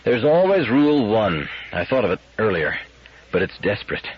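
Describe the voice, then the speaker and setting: A man voicing an exasperated, urgent male space engineer in an audiobook, with a husky, emphatic delivery. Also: on the low side, very animated, moderate pace, dull audio, full character voice. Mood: earnest, desperate. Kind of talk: audiobook character dialogue.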